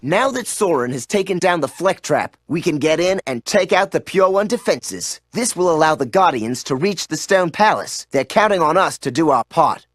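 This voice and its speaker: A man's voice: on the high side, animated, normal speed, hardly any pauses, dry recording, studio quality. An actor voicing a male owl ally who gives earnest mission briefings in a video game. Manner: earnest, instructive.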